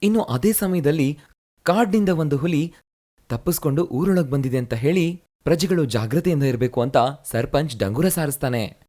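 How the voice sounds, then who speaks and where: A man narrating a cartoon moral story in a steady, explanatory way. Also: a little high, normal speed.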